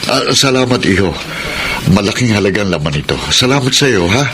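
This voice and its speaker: A man playing a middle-aged businessman in a radio drama, hurried yet fatherly and generous. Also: fairly low pitch, animated, sharp tone, slight background noise. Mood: surprised, grateful. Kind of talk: Filipino radio drama acting.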